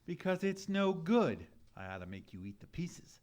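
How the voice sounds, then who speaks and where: An actor playing an exasperated, sleep-deprived, penny-pinching husband in a live radio comedy, blustery, sarcastic and indignant. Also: male voice, fairly high pitch, big pitch swings, moderate pace, a little mellow, a little hiss or hum. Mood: outraged despair. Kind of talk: live old-time radio comedy acting before an audience. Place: live theatre stage.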